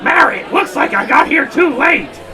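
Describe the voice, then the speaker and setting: A man acts out a hearty, eager puppet brother in a comic radio play. The voice is animated and a little far from the mic, in a noisy hall with an audience. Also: very high-pitched, moderate pace, crowd noise. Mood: eager, celebratory. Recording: distant miking, noisy live hall with audience.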